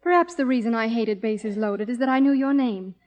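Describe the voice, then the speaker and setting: Actress as young script reader, highish voice, fast, blunt, frank, vintage radio drama. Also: female voice, darkish tone, close to an everyday voice. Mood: embarrassed, frank. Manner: brisk, blunt. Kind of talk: 1950s radio drama acting.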